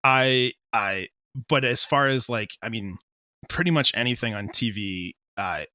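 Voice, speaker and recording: A man's voice: medium pitch, a little slow, sharp tone, no room sound. A man talking casually in an interview as an audio drama creator, rambling and informal.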